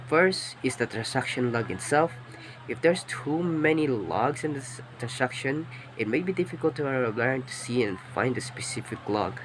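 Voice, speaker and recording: A hoarse young man with a Filipino accent, giving a class project presentation in a loose, rambling way with restarts. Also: mid-range voice, a little hiss or hum, poor audio. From Philippines. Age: young adult. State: hoarse from illness. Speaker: student presenter.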